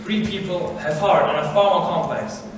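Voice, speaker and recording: Earnest scholar played by a teenage boy, higher-voiced, didactic and deliberate, stage drama heard from afar in a reverberant theater. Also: fairly even intonation, close to an everyday voice. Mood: earnest, instructive. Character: earnest, candid scholarly man, literary mentor. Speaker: student stage actor. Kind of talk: high school stage drama. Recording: echoey theater, distant mic, noisy.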